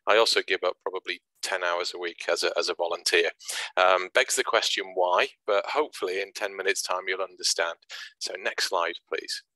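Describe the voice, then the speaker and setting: A man in his sixties from Wales speaks over a video call, his voice low and forceful. He talks haltingly, with plenty of fillers and repeated words. The sound is dry and close. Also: fairly even intonation, no room sound, rough recording. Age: 60s. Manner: hesitant, many restarts and fillers. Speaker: guest speaker. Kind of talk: presentation at an online branch meeting.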